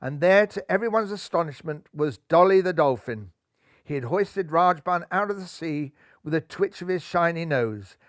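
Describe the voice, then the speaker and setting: An older man reading a children's picture book aloud, lively, lifting his voice for the characters. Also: normal speed, no room sound, clean studio sound. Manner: lively, raises pitch and volume for characters' lines. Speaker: storybook reader. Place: living room, seated in armchair.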